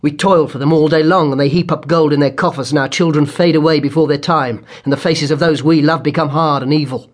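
Man voicing a bitter, husky, impassioned starving weaver. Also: a little high, fairly even intonation, fairly quick, hardly any pauses, close to an everyday voice. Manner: impassioned, embittered declaiming. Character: bitter, angry, starving male weaver, oppressed labourer. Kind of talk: dramatised storybook character reading.

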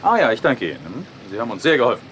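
A man acting in a German audio drama as a calm, dry, teasing detective who investigates the occult. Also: medium pitch, racing, slight background noise. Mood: inquiring.

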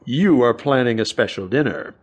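An actor playing a wry, needling, excitable frontier doctor in a western audio drama. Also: male voice, medium pitch, moderate pace, faint music behind. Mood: teasing, wry. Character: excitable nosy male frontier doctor, dry-witted.

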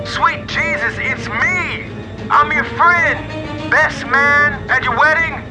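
A man's voice: very high-pitched, slow, rough recording. An actor playing a desperate, slangy young man pleading to be let inside in a radio play. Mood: frantic, afraid. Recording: music bed behind the voice.

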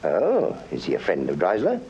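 An actor playing a gentlemanly, authoritative senior police chief in a radio crime drama, with a British accent and courteous, clipped speech. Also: male voice, low-pitched, huge pitch swings, normal speed, dull audio, slight background noise, full character voice. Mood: puzzled, brisk. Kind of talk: full-cast radio crime drama acting.

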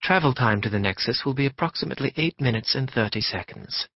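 A man's voice: mid-range voice, expressive, no room sound. A man doing a refined female announcer, faintly British. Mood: poised. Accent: trace of British. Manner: smooth, measured, refined. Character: cultured female PA announcer, rich and sophisticated. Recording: dull dry studio recording.

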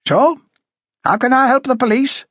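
A man voicing a blunt, prickly older working-class woman with a high, rasping voice, emphatic and chatty, as audiobook dialogue. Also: normal speed, fairly bright tone, no echo, clean studio sound. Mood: indignant.

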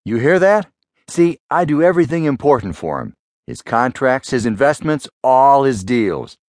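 A man narrating a story, voicing a self-important, smooth-talking male lawyer who is a father. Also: mid-range voice, very animated, moderate pace, a little mellow, no room sound, studio quality, natural voice. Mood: boastful.

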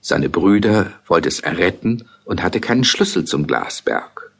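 A husky-voiced man narrating a fairy tale in a measured, storytelling manner. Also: low-pitched, expressive.

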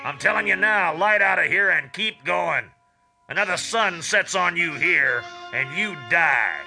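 An actor playing a menacing gunman delivering drawn-out threats in a Western audio drama. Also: high voice, expressive, gravelly, pushed and bright, soft background music, heavily acted. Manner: menacing, drawling warnings.

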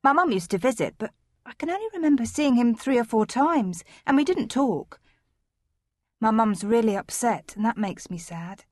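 Earnest, husky-voiced schoolgirl. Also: on the high side, expressive, moderate pace, no room sound, studio quality. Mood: earnest, subdued. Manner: earnest, questioning. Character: earnest, puzzled young schoolgirl, great-granddaughter. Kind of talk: audio drama acting.

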